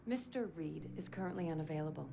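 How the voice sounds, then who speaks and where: An actress playing a polite, businesslike office receptionist in a video game, courteous and formal. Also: female voice, low voice, expressive, fast. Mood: polite, brisk. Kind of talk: video game cutscene acting. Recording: clear background noise, office ambience.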